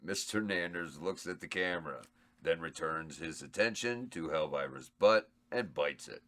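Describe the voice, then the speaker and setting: A man's voice: low-pitched, normal speed, a bit bright. A man reading stage directions aloud at a table read, laid-back and chuckling. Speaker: script reader and cast member.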